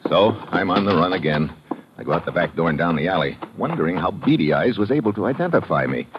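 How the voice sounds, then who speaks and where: Deep-voiced hard-boiled American agent. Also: male voice, expressive, fast, highs cut off, heavy background noise. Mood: wry, tense narration. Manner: hard-boiled, terse, slangy. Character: tough, wry American male agent on the run. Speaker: lead actor and narrator. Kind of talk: old radio crime drama narration and acting. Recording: band-limited old radio recording, dramatic music.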